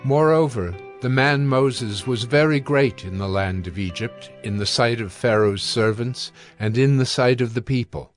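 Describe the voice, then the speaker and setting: A man narrating scripture from a script in a measured, solemn manner. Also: medium pitch, faint music behind.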